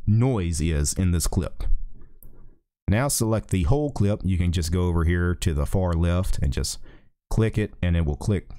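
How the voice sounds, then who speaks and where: A middle-aged man with a very low, dark voice, talking through software steps in a relaxed way and restarting his sentences now and then. He records at a desk microphone in a small home office, and the sound is dry and close. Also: no echo. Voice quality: deep voice. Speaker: tech tutorial host. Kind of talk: casual screen-recorded software tutorial. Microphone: desk USB microphone.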